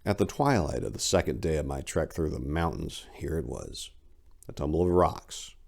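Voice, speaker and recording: A middle-aged man with a deep, gravelly voice reads a horror tale aloud. His delivery is dramatic and swelling, captured close on a lapel mic. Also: animated, moderate pace, a little mellow. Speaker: reader of horror stories. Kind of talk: dramatic reading of a first-person story. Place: indoors in front of bookshelves.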